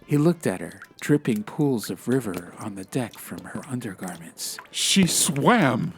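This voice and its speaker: A man's voice: fairly low pitch, lively intonation, normal speed, noisy background. A man narrating a fantasy audiobook in a dramatic, literary style. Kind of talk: scripted fantasy story narration. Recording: music behind the voice.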